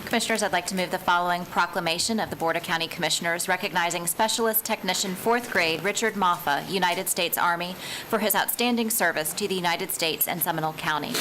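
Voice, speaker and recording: A woman county commissioner reading a formal proclamation in a measured, steady way. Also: fairly low pitch, a little hiss or hum, rough recording. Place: county meeting chamber.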